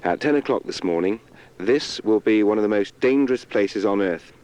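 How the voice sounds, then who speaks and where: A man reporting on location from a safari park, describing the scene calmly and interviewing a staff member. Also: lowish voice, moderate pace, rough recording. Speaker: radio reporter.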